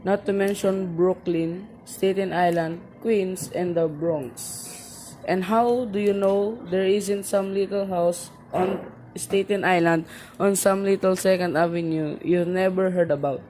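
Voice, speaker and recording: A low, very mellow voice plays an impatient police sergeant, acted by a woman, brusque and condescending in radio drama style. There is faint noise behind it. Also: fairly even intonation, measured pace, close to an everyday voice, rough recording. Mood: skeptical, condescending. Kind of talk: radio play acting.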